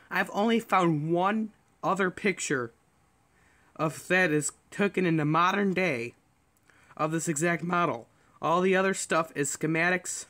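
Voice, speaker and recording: Casual, higher-voiced man rambling. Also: a bit bright. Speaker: vlogger showing an antique radio. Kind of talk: casual show-and-tell, unscripted.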